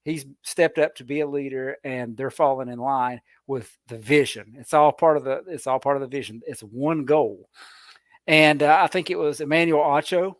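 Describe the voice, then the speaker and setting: A man's voice: mid-range voice, fairly even intonation, normal speed, no echo. A man giving his take on a casual sports podcast, rambling and restarting his words as he thinks. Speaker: podcast panelist.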